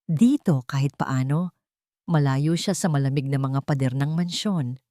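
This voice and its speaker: A woman's voice: rumbling low, measured pace, warm tone, no room sound, clean studio sound. A woman narrating a scripted romantic drama in an emotive storytelling manner.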